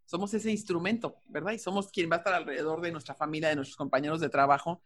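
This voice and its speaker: A woman's voice: deep voice, fairly even intonation, quick pace, slight background noise. A woman with a Mexican accent hosting a podcast interview in a conversational style.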